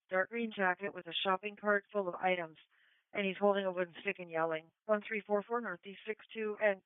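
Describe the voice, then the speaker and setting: A police dispatcher heard over a tinny, narrowband scanner radio. The voice is clear and smooth at a middling pitch, very dry, reading out calls and numbers in clipped procedural phrases. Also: no echo.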